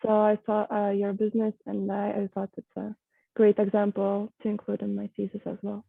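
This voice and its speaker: A woman from Lithuania, a university student, speaks from a library on a video call. Her voice is flat and matter-of-fact, dry and close, and comes through a thin, phone-like line. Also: medium pitch, fairly quick, creaky, slightly rough, no echo.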